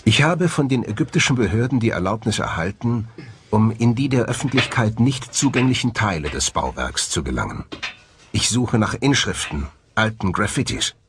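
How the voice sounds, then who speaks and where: A man's voice: fairly low pitch, lively intonation, moderate pace, background noise. A man delivering scripted narration for a documentary. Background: background music.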